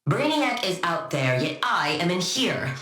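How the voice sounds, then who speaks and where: A woman playing a cold, sardonic scientist villain in a video game, speaking in a dry, clipped deadpan. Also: fairly low pitch, unhurried, roomy sound, full character voice. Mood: bitterly sarcastic. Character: cold, sardonic female genius scientist villain devoted to her wife.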